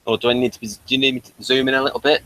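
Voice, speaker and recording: A man's voice: medium pitch, flat delivery, rapid-fire, pushed and bright. A man hosting a review show in a casual, conversational style. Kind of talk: casual chat.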